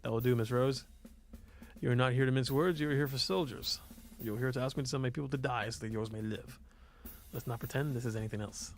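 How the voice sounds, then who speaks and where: Imperious fantasy game duke acted by a man, stern and weighty, mid-pitched, dismissive. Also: steady tone, quick pace, soft background music, close to an everyday voice. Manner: formal, weighty, scornful. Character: stern, haughty ruling duke, curt and dismissive.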